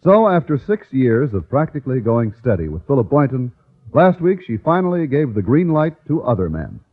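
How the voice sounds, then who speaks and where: A man's voice: medium pitch, sing-song, moderate pace. A man announcing in an emphatic, formal, salesmanlike 1950s radio style. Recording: tinny, narrow-band old radio recording.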